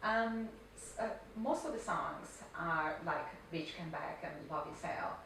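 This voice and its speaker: A woman's voice: sits low, measured pace, gravelly, a bit bright. Distant woman interviewer, heavy echo. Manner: hesitant, polite, many fillers. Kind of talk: interview questions. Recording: echoey, distant from mic, noisy.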